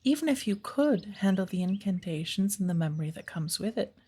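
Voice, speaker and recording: A woman playing a patient, playful witch of the woods who gently teaches an apprentice in a soft ASMR roleplay, with a breathy voice. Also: mid-range voice, lively intonation. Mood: earnest, instructive. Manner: slow, measured, gently instructive.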